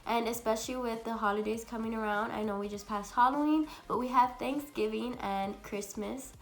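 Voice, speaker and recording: A young woman with a raspy, congested voice from a cold, chatting bubbly through a makeup tutorial at home. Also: on the high side, fairly even intonation, normal speed. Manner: chatty and bubbly, many fillers. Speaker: beauty vlogger. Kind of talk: casual makeup tutorial chat.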